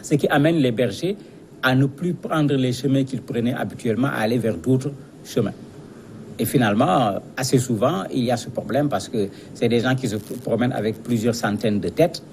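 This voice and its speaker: A man's voice: medium pitch, normal speed, a little mellow, slight background noise. A man giving explanatory commentary as a guest analyst in a news interview, speaking didactically and repeating words as he searches for phrasing.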